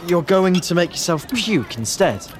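A woman's voice: fairly low pitch, big pitch swings, measured pace, slight background noise. A woman reading an audiobook, voicing a wry, teasing teenage schoolboy.

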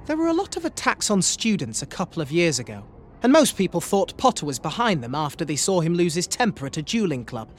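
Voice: mid-range voice, sing-song, brisk, slight background noise, lightly acted. An actor playing an accusing, gossiping teenage schoolboy in a dramatised audiobook.

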